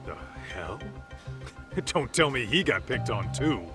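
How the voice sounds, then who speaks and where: A man's voice: mid-range voice, very animated, slow, music in the background. A man playing a brash, cocky young tough guy in a video game cutscene, blunt, slangy and swaggering. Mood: incredulous.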